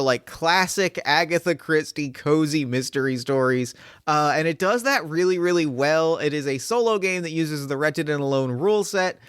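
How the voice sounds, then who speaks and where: A man's voice: on the high side, fairly bright tone, no echo, studio quality. A man hosting a podcast in an effusive, emphatic, casually sweary way, full of enthusiasm. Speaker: podcast host and game master. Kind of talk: enthusiastic podcast intro and chat.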